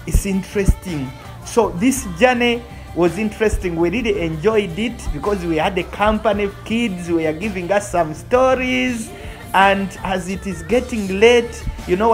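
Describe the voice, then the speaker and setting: A woman's voice: mid-range voice, darkish tone. A woman with a Ugandan accent narrates a travel vlog casually, repeating phrases for emphasis. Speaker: vlogger. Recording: music under the voice. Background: music.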